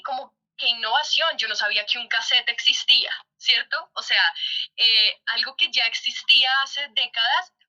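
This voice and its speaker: A woman's voice: fairly high pitch, pushed and bright, muffled recording. A woman answering conversationally in an interview, often adding little checking tags. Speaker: theatre performer being interviewed.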